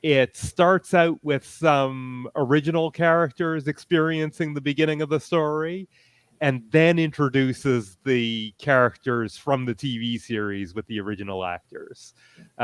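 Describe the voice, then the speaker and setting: Clear-voiced man, explaining haltingly. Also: mid-range voice, fairly even intonation, dry recording. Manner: hesitant, explanatory, pausing often to find words. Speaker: fan panelist. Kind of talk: casual panel discussion.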